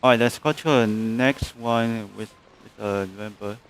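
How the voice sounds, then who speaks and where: A man's voice: fairly low pitch, fairly even intonation, moderate pace. Man live-streaming with faint background noise. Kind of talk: casual live-stream commentary.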